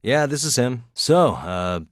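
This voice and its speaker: A man's voice: mid-range voice, lively intonation, unhurried, no room sound, studio quality, lightly acted. An American man playing a wry, streetwise private detective in a video game, speaking casually and sardonically in short lines and asides. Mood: casual, weary. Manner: casual, sardonic muttering with frequent sighs.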